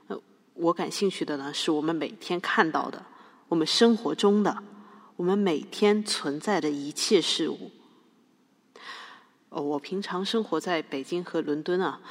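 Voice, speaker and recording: A woman playing a pretentious, self-regarding conceptual artist who speaks in lofty jargon as a defendant in a satirical courtroom audio drama. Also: deep voice. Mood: self-satisfied. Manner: pompous, hesitant fillers, lofty jargon. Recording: reverberant hall sound.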